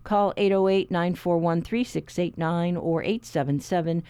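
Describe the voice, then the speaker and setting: A man hosting a public radio show, introducing segments and reading a quiz in a measured, clear announcer manner into a studio microphone. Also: high voice, warm tone, no room sound.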